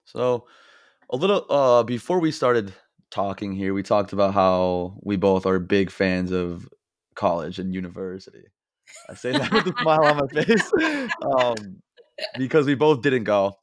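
A woman hosting a podcast, asking relaxed, friendly questions and laughing easily. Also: a bass voice, a little slow, dry recording. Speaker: podcast host. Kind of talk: casual interview questions.

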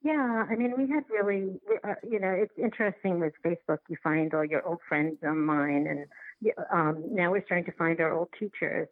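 A woman's voice: lowish voice, dry recording. Woman on a tinny phone-like line. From New York City, United States. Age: middle-aged. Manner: reflective, halting, thinking aloud. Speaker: interview guest, documentary filmmaker. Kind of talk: casual interview answers.

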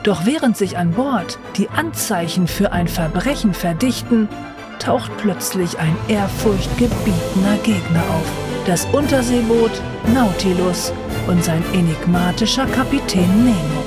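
A woman's voice: medium pitch, expressive, a little slow. Female narrator for dramatic scripted teaser, mid-range voice, prominent music bed. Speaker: narrator of an audio drama summary. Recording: loud music under the voice. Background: loud music.

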